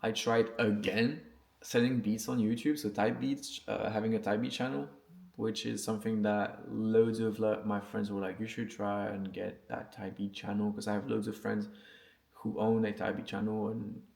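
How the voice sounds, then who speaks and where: A man's voice: fairly low pitch, flat delivery, normal speed, gravelly, a little mellow. A man who speaks English as a second language, chatting casually and unscripted to camera as a vlogger, in an informal, slangy, sweary and earnest way with frequent check-ins. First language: not English. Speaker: vlogger and music producer.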